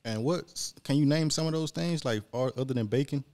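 A man's voice: medium pitch, brisk, darkish tone. A man hosting a military podcast, asking questions in a loose, casual way at a desk mic in a small studio. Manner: laid-back conversational questions. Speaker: podcast host. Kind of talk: casual interview. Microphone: desk mic on boom, headphones.

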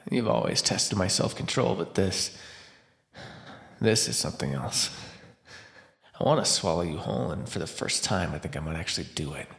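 A man acting a starving captive vampire, wildly expressive. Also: low voice, exaggerated voice. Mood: craving, pleading. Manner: sardonic, teasing, breathy pauses and sighs. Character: starving captive male vampire, sardonic, flirtatious, desperate. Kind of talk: immersive ASMR roleplay monologue. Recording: roomy, echoing sound.

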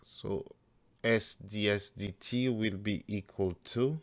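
A man working through a math problem step by step as a teacher, deliberate and halting phrase by phrase. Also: lowish voice, steady tone. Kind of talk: step-by-step worked-problem tutorial.

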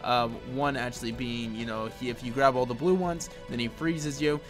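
A man with a slightly raspy voice giving casual, chatty gameplay commentary as a video host, often circling back with little asides. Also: medium pitch, fairly even intonation, moderate pace. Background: game music.

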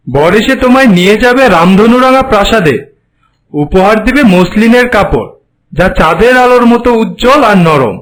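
Cartoon royal suitor in butterfly disguise, male actor: high voice, wide swings, proud and pleading. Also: fairly bright tone, poor audio. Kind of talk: cartoon voice acting.

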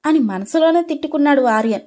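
A woman's voice: high voice, animated, fast talker, a little mellow. A woman reading scripted dramatic story narration as the storyteller.

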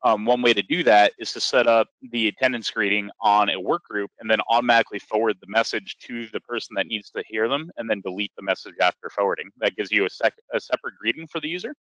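A man working as a software trainer, explaining a screen step by step in an informal, instructional way for a webinar. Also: on the low side, quick pace, few pauses, dry recording, muffled recording.

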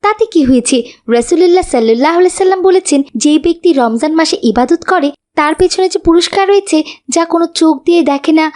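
A woman's voice: very high voice, fairly quick, a little mellow. A woman voicing a humble, devout young girl who advises earnestly in a cartoon. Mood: reassuring. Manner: earnest, instructive.

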